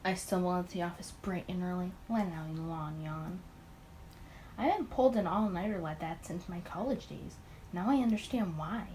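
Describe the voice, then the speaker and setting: A woman's voice: lowish voice, fairly quick, warm tone, slight background noise, voice pushed down, natural voice. Woman reading as weary 1920s detective. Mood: weary, awkward. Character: tired, awkward male 1920s detective, narrating.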